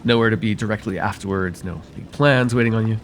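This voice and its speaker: A man playing a courteous nocturnal vampire therapist in an ASMR roleplay, speaking formally and gently to the listener in a soothing, slightly hesitant way. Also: lowish voice, quick pace, soft background music, lightly acted. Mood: courteous, measured.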